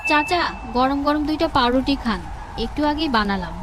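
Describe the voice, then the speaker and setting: A woman playing a kind, hard-up village mother in an animated story, gentle and concerned in manner. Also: sits high, normal speed, background noise. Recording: music under the voice.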